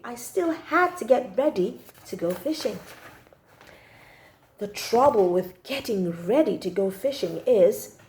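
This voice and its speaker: A woman reading a children's story aloud in an expressive, emphatic storytelling style. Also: high voice. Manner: animated, emphatic, acts out the child narrator's feelings. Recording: echoey room.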